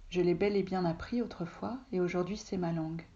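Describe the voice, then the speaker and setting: A woman from Russia whose first language is Russian, calmly reading a literary text aloud from her home office, often soft and breathy. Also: lowish voice, fairly quick, dull audio. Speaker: reader, translator.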